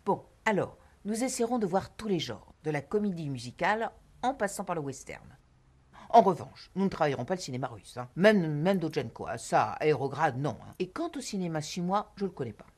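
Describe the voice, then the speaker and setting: An actress playing a haughty, self-important private film teacher who talks down to her students in a husky voice. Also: deep voice, sing-song, fairly quick, darkish tone, exaggerated voice. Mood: lofty. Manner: condescending, lofty, dismissive. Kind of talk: film drama acting.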